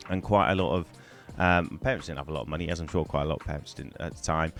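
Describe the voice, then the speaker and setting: A middle-aged man from Wales, hoarse from a cold, chatting to camera in a rambling way on a poor-quality microphone. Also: rumbling low, fairly even intonation, racing, a bit bright, faint music behind. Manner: rambling, chatty, many ums and restarts. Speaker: gaming YouTuber. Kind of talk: casual to-camera storytelling.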